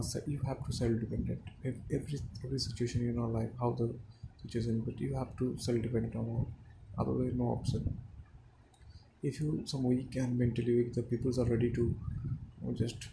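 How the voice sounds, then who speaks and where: A young man from India with an Indian accent and Hindi as his first language, speaking in halting, non-fluent English full of fillers in a personal monologue to his listeners. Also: mid-range voice, vocal fry, noisy background. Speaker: audio diary speaker.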